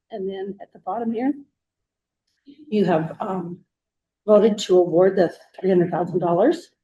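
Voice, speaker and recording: Hoarse froggy woman going over the money report, mellow low-ish voice, kinda muffled audio. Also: slow pace, pauses often. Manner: apologetic and plain-spoken. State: hoarse, froggy throat with coughs. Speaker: staff member giving a financial report. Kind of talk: meeting presentation. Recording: dull lo-fi recording.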